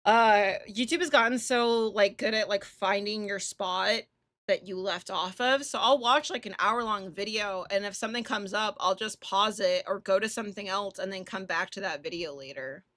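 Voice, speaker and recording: A woman hosting a casual game livestream, chatting bluntly and irreverently and reading on-screen text aloud in an animated way. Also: medium pitch, moderate pace, no room sound. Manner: foul-mouthed, irreverent, blunt. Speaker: live streamer hosting a visual novel playthrough.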